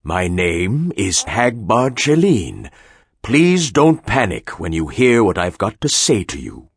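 A deep, husky male leader, as a man voices him in an audiobook, his pitch swinging widely as he warns in slow, solemn tones. He sounds commanding and grave. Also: wildly swooping pitch, dry recording, clean studio sound, exaggerated voice. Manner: slow, grave, deliberate announcing. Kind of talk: audiobook character voice.

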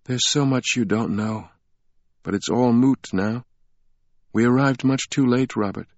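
A man's voice: measured pace, dry recording. A man voicing a tearful, pleading young woman. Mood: urgent. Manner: soft, pleading, raised pitch. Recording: dry, slightly muffled recording.

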